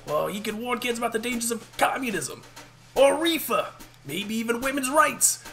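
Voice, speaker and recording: A man playing a pushy, blustering young 1950s executive in an audio comedy, with a creaky voice. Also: very high voice, lively intonation, normal speed, exaggerated voice. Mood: pushy, eager. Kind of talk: 1950s radio acting. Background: loud music.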